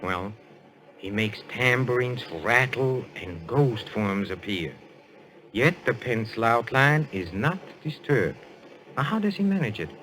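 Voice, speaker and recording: An actor playing a suave, assured detective in a radio mystery, speaking in a courtly, deliberate way. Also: male voice, medium pitch, very animated, fairly bright tone, low-quality recording. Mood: patient, explaining. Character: suave, assured French detective, male, posing as a medium. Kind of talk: old-time radio mystery acting.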